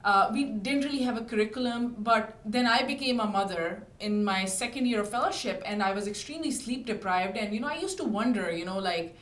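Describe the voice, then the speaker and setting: A woman from Pakistan in her thirties, a physician being interviewed, who explains things in a measured way with many fillers. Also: fairly high pitch, roomy sound. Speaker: physician guest. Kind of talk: interview answers. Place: podcast studio.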